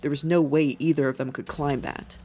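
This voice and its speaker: A woman's voice: sits low, steady tone, fairly quick, dark tone. A woman reading scripted fiction as a dramatic narrator. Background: music.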